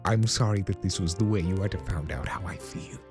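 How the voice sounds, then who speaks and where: A man playing an immortal trickster creature, awkward, tender and teasing, with hesitant dramatic pauses in a fan audio drama. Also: deep voice, lightly acted. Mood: apologetic, anxious. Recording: music behind the voice.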